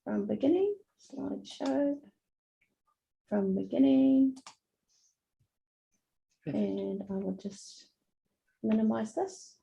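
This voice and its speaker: A New woman with a very gravelly, deeply mellow voice talks casually while setting up. Her pitch is on the higher side, and the audio is dull. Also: expressive, takes it slowly, long pauses. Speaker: presentation helper. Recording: muffled, dull meeting audio.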